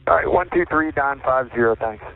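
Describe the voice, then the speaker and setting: A man's voice: mid-range voice, brisk. A man speaking as an airline pilot over radio to air traffic control. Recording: tinny, slightly noisy cockpit radio link.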